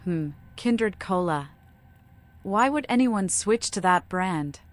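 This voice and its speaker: A woman's voice: mid-range voice, expressive, slow, no echo. A woman voicing a playful, cryptic, deranged female vampire in a video game, with an eccentric and whimsical manner. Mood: quirky, mocking. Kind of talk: modded video game voice acting.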